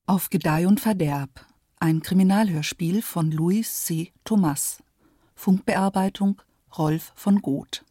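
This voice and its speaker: A woman formally announcing an old radio play, reading the credits in a measured way. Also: on the low side, dark tone, breathy edge.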